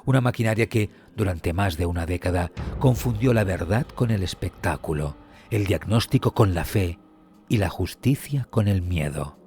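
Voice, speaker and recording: A man reading scripted documentary narration in a solemn, measured, dramatic way with weighty pauses. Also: low voice, lively intonation, darkish tone. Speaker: true crime podcast narrator. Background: background music.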